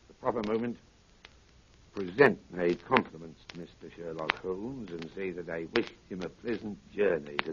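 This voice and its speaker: An actor playing a cold, commanding criminal mastermind in 1930s radio style, deliberate and menacingly polite. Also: male voice, low voice, lightly acted. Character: cold commanding male criminal mastermind, giving orders to henchmen. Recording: noisy, muffled 1930s radio recording with clicks.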